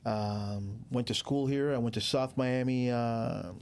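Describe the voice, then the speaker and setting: A man in his sixties, from Cuba, speaks as a guest with a gravelly, fry-tinged voice at an ordinary middle pitch. He rambles through his stories in a relaxed, easygoing way, leaving frequent pauses and fillers. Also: slight background noise. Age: 60s. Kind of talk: casual interview chat.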